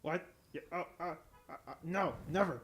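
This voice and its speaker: A man voicing a whiny, easily offended comic sidekick on a talk show, halting and aggrieved. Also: a little high, fairly bright tone, slight background noise, natural voice.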